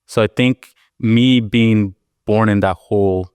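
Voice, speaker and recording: A man in his thirties, from the Arizona–Mexico border, who reflects on his past with an unhurried ease. His voice sits a little low and sounds smooth and clear, close and dry against the mic. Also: fairly even intonation, a little mellow, no room sound. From Arizona-Mexico border, Sonoran Desert. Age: 30s. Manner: easygoing, reflective storytelling with many fillers. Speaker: podcast guest, music producer and DJ. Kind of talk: casual interview chat.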